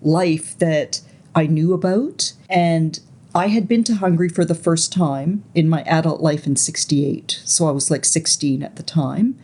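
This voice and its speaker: Low-voiced woman in her sixties, reflective. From Canada. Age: 60s. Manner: soft, unhurried, searching for words. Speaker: interview guest. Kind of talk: reflective personal storytelling.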